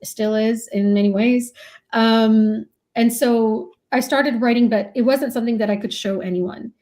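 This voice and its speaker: Woman writer from iraq answering interview questions, soft mellow tone, kinda reflective. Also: a little high, fairly even intonation, normal speed. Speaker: author and professor, interview guest. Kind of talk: thoughtful conversational interview answers.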